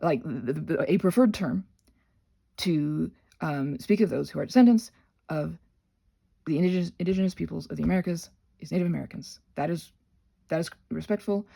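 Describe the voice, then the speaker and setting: A woman who is sick, talking in a casual unscripted ramble as a channel host, halting and correcting herself often, with animated, emphatic asides. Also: sits low, gravelly, breathy edge. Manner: rambling, halting, self-correcting with many trailing restarts.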